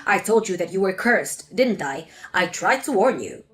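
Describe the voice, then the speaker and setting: A woman's voice: medium pitch, big pitch swings, moderate pace, a bit bright, faint music behind, pitched below the performer's own voice. A woman voicing a spiteful, scolding older mother-in-law in a scripted text-story drama. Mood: scornful. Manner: scolding, contemptuous.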